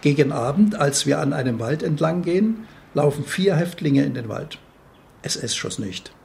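A man's voice: a little high, lively intonation, normal speed, vocal fry, a little mellow. A man reading a scripted, diary-like eyewitness account. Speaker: reader of testimony.

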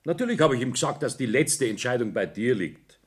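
A middle-aged businessman and father, husky and medium in pitch. He sounds nervous and calculating, in a radio drama style. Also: animated, fairly quick. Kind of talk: German radio play acting.

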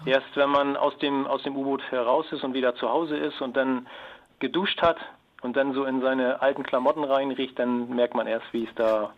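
A man with a mid-pitched voice comes through a slightly dull phone connection. He is an expert guest, explaining things in an interview. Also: level tone, normal speed. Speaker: expert guest, submarine engineer and former pilot. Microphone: telephone. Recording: dull band-limited phone line.

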